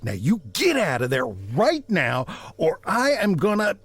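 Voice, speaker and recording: A man playing a small-town shopkeeper in a comedy audio drama, an unflappable, bossy straight man with wry, deadpan lines. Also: high-pitched, unhurried, breathy edge, no room sound, exaggerated voice. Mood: stern, fed up.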